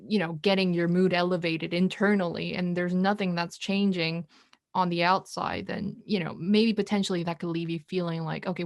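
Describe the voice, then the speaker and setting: A woman whose first language is Korean, hosting a casual chat over a video call and thinking aloud with many restarts and pauses. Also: fairly low pitch, no echo, clean studio sound. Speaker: podcast host. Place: indoors in Korea.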